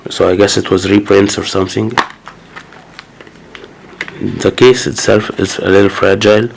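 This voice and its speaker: A man's voice: on the low side, steady tone, normal speed, gravelly, slight background noise. A man with a cold, talking casually and hesitantly as he goes through his new game collection. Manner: hesitant, many fillers and restarts. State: sick with a cold. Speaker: gaming channel host. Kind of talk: casual unboxing commentary.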